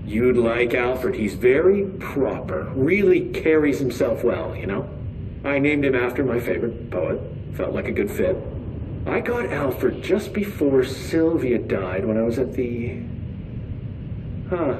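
A nervous, earnest security officer in a video game, chattering over an intercom. His pitch moves in a very lively way as he rambles and corrects himself. The sound is echoey, with clear noise behind it. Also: unhurried, distant mic. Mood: rambling, chatty. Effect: intercom speaker.

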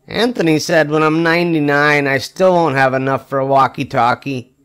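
Older man reading a storybook, slightly high voice. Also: fairly bright tone, clean tone. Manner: emphatic, with drawn-out wry punchlines. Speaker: storybook reader. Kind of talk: expressive read-aloud of a children's picture book.

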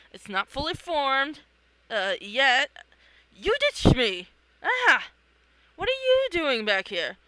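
Bright, theatrical comic read-along voice. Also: high-pitched, no echo, poor audio. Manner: theatrical, exclamatory. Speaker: reader of own comic. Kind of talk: animated read-along of a comic, acting out characters.

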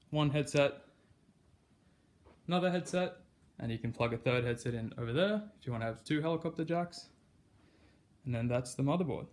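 Male vlog host, mid-pitched, casual walkthrough delivery, handheld camera indoors. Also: a little mellow. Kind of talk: casual hands-on demonstration vlog. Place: carpeted room at home, indoors. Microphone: handheld camera or phone mic, speaker behind the camera.

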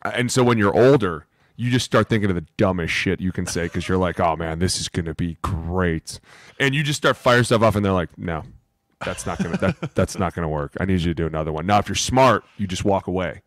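A man's voice: low-pitched, a little fast, no room sound, studio quality. A man telling casual, salty anecdotes on a podcast, bluntly mimicking the people he quotes. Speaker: podcast co-host, former NFL lineman. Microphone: close podcast mic with headset.

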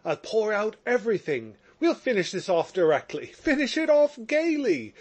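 A man's voice: high-pitched, sing-song, moderate pace, voice pushed up. A man voicing a desperate, passionate young prisoner who pleads and exclaims in an audiobook reading. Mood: impassioned.

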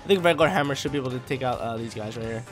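Hyped young man, slightly hoarse. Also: mid-range voice, rapid-fire. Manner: hyped, chatty, slangy. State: slightly hoarse from a sore throat. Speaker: gaming YouTuber. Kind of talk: let's play commentary. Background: faint game music.

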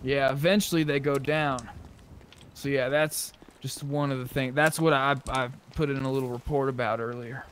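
A man's voice: mid-range voice, fairly even intonation, moderate pace, a bit bright. A man chatting casually as he plays a zombie survival roleplay game. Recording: clearly noisy game audio.

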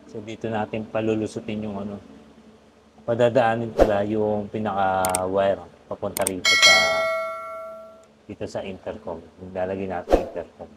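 A man giving a casual step-by-step hands-on tutorial, often halting as he searches for words. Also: fairly low pitch, flat delivery. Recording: clearly noisy background ambience.

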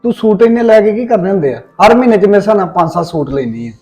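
A man playing a fast-talking fond older brother. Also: sits high, lively intonation, dark tone, soft background music. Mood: teasing. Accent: Punjabi. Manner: fond, teasing reassurance. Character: indulgent older brother, fond, mildly exasperated. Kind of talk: comic family skit acting.

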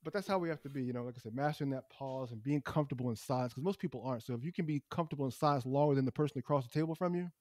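Quick, animated male host, somewhat high voice, dry close sound. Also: no room sound. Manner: animated, quick, acts out little scenes. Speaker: podcast host. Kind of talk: conversational podcast interview.

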